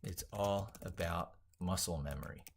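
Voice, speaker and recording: A man thinking aloud as he drafts an opinionated tech piece, halting, repeating and reworking his phrases with emphatic points. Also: low voice, slight background noise. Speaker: tech educator and video creator.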